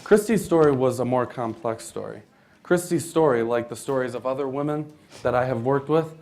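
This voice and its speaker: A man's voice: medium pitch, a little mellow. A young American man reading scripted narration for a readers theater performance, formal, with occasional stumbles over the text. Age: young adult.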